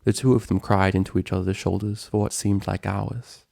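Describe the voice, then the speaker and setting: A male narrator reads a dramatic story. His voice is low and noticeably gravelly, with a solemn, measured delivery, recorded very dry and close. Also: level tone, dark tone, dry recording. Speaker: narrator, fan fiction reader.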